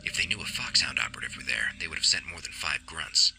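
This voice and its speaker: A man playing a gruff veteran covert operative in a radio drama, with a gravelly, husky voice and a deadpan, terse, teasing delivery. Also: rumbling low, fast talker, whispered, rough recording. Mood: sceptical.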